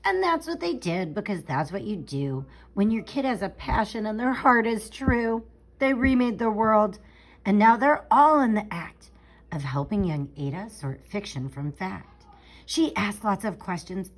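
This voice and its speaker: A woman reading a rhyming children's picture book aloud in an expressive, theatrical way, stretching out key words for emphasis. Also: medium pitch, a little slow, rough recording. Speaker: storybook reader.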